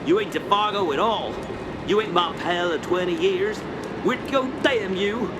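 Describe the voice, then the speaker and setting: Man acting as very high-pitched, frantic backwoodsman. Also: moderate pace, very noisy, lightly acted. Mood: raging disbelief. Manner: rustic dialect, cursing, overwrought. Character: frantic, grief-maddened backwoodsman, loyal and wrathful. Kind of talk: horror radio theater acting. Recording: loud music bed under voice.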